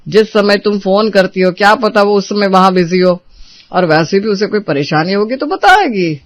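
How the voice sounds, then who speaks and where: Man playing high-voiced, caring Indian father. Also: lively intonation, normal speed, fairly bright tone, no echo. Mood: reassuring. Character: caring middle-aged father, reassuring, affectionate family elder. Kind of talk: Hindi family drama acting.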